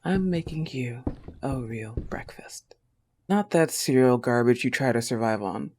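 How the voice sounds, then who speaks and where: A man voicing a possessive but tender young goth wolf girlfriend, soft-spoken, in an intimate audio roleplay. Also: medium pitch, measured pace, a little hiss or hum, lightly acted. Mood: relieved, playful.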